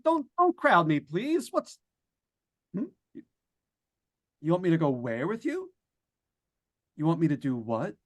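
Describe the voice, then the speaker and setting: A woman acting a frightened radio character slipping into dazed mania, halting and rambling in old-time suspense style. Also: fairly low pitch, dry recording, clean studio sound. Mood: flustered, confused. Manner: halting, rambling, as if answering unseen listeners.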